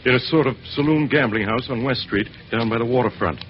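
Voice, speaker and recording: A man's voice: mid-range voice, fairly quick, close to an everyday voice. An actor playing a missing man's anxious friend, giving an earnest account to a detective in an old radio drama. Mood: earnest, uneasy. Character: anxious male friend of a missing man, a client. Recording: muffled, noisy vintage radio broadcast.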